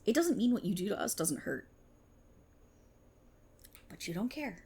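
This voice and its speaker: A woman playing a sardonic, menacing, resentful police officer in an ASMR roleplay, with a breathy voice and a mocking, theatrical delivery. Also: mid-range voice, sing-song, measured pace, dark tone, heavily acted. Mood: bitter, hurt.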